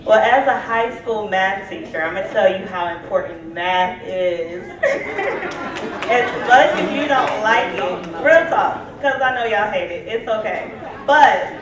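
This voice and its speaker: A frank, down-to-earth woman teacher talks straight with students, her voice sounding dull and lo-fi. She is picked up from far away in a boomy hall. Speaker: high school math teacher. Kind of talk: speaking to students at a career panel. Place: school auditorium.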